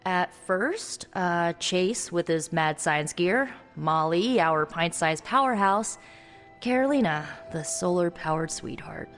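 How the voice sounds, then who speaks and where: A woman's voice: fairly low pitch, expressive, fairly bright tone. A woman playing a young spellcaster hero in a video game, wry and guarded, talking in a conversational, hesitant way. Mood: fond, then guarded. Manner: wry, hesitant, halting asides. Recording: long reverb, music underneath.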